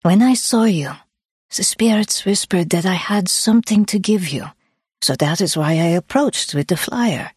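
A woman's voice: fairly low pitch, normal speed, darkish tone, breathy edge, no echo, clean studio sound, voice pushed up. A woman voicing an earnest, spiritual woman who speaks solemnly in stilted phrases, for an audiobook. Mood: mystical, solemn. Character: earnest Swiss woman, spiritual, devoted foot healer. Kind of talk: audiobook character voice.

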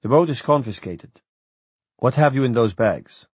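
A man voicing a formal border lieutenant who speaks in clipped, officious sentences, a little higher and louder than the narrator. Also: animated, moderate pace, slightly rough, dry recording.

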